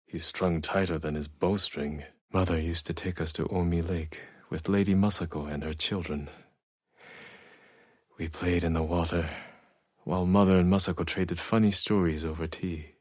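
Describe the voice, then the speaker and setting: An actor playing a stoic, honorable samurai warrior in a video game, with restrained, solemn, reflective delivery and a soft-edged husky voice. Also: male voice, low-pitched, normal speed, no room sound, studio quality. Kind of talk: dramatic video game acting, reflective monologue.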